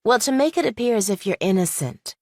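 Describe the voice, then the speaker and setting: A woman's voice: a little high, animated, brisk, dry recording, clean studio sound. A woman playing a shrewd young law student in an audiobook, direct, questioning and analytical. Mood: probing, skeptical. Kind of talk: audiobook character dialogue.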